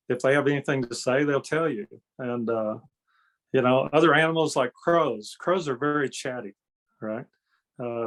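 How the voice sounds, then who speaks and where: A man's voice: mid-range voice, a bit bright, poor audio. Older man, folksy conversational explainer. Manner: folksy, explanatory, frequent check-in tags. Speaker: interview guest, energy healer and kung fu master. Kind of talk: conversational interview, telling anecdotes.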